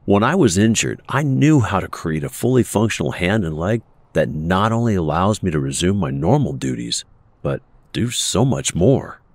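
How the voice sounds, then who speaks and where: A man playing a stoic, wounded veteran inventor, speaking in a measured, earnest way in an audio drama. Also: sits low, expressive, a little mellow, no echo. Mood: earnest, confiding.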